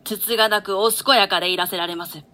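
Courtly servant played by a woman: forceful bright tone, solemn, deferential, very formal archaic wording. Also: mid-range voice, steady tone, fast talker, lightly acted. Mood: respectful, solemn. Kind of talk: classical stage play reading.

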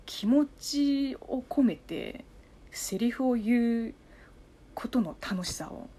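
A young woman whose first language is Chinese talks in a high, swooping voice. She hosts a casual chat and comes across as excitable and expressive, often giggly. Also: slow. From China. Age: young adult. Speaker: podcast host, announcing student. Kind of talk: casual after-show chat.

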